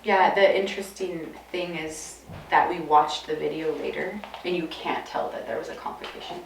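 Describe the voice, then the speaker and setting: A woman with a soft, gentle voice talks casually as a midwife leading a group. She explains and tells stories informally. The recording is distant and roomy, with clear background noise. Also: low voice, steady tone, moderate pace. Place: midwife care space with group gathered. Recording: echoey, noisy room, distant mic.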